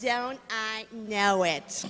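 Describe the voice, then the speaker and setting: A woman plays a scheming mobster's wife in a radio drama. She is high-voiced and takes her time, mocking and sly. The sound rings in a hall. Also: extremely slow, fairly bright tone, a little hiss or hum. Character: sardonic, scheming wife of a gangster villain. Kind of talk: amateur radio-play acting. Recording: echoey reverberant hall.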